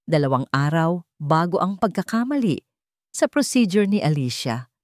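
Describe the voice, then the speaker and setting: A woman storyteller with a fairly low voice reads scripted romantic drama. She delivers it as dramatic narration. The sound is dry, with no room around the voice. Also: very animated, moderate pace, dry recording.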